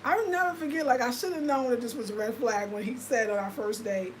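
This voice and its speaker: A woman in her fifties with an American accent talks to her viewers in a loose, confiding way, full of "like" and "y'all." Her voice sits fairly high for a woman. A faint noise runs under the recording. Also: fast talker. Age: 50s. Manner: chatty, confiding, lots of fillers. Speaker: lifestyle vlogger. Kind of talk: casual livestream chat.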